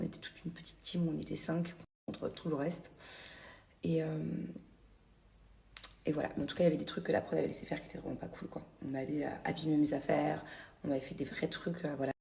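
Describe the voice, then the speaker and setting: A woman's voice: sits low, level tone, fast, vocal fry, dark tone. A woman from the Paris region casually telling stories to her followers on a phone, with many fillers and restarts. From Paris region, France. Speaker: vlogger.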